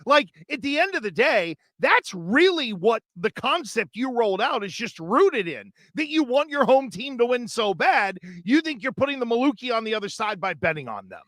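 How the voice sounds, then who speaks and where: High-voiced man with a dry sound. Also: sing-song, fairly bright tone, dry recording. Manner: easygoing, agreeable reactions and measured opinions. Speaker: co-host. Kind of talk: casual sports radio chat.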